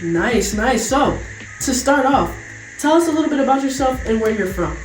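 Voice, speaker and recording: A woman's voice: high voice, expressive, roomy sound, soft background music, poor audio. A young woman hosting a podcast interview, upbeat and reactive. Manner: upbeat, reactive, quick to laugh. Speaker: podcast host.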